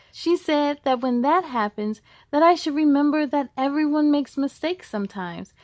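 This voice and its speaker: Lady doing a kids storytime, high voice, encouraging, talks right to the kids, dry close sound. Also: dry recording. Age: adult. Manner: encouraging, addresses listeners directly. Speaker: host of a children's read-aloud show. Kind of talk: storybook read-aloud with chatty asides to young viewers.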